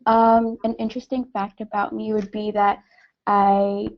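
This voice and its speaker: Young Canadian woman with a gravelly voice. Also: mid-range voice, level tone, unhurried. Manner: plain, with some fillers. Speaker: project assistant, university student. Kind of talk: brief self-introduction on a webinar. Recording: lo-fi webinar audio.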